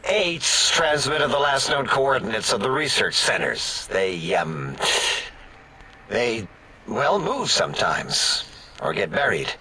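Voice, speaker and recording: A man's voice: medium pitch, big pitch swings, takes it slowly, bright, forceful tone, a little hiss or hum, heavily acted, poor audio. A man voicing an awkward, easily flustered robot scientist in a comic video game, hesitant and rambling. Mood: flustered, defensive. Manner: hesitant, rambling, self-correcting.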